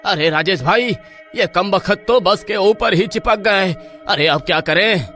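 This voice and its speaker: A man's voice: high-pitched, measured pace, bright, forceful tone, soft background music. A man voicing a panicky, wailing comic villager. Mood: urgent. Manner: wails and shrieks in panic, comic. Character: panicky comic young male villager Hira.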